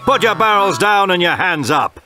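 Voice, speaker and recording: A man's voice: high voice, sing-song, normal speed, a crowd in the background, voice pushed up. A man voicing a stern, blustering, triumphant military officer in a storybook.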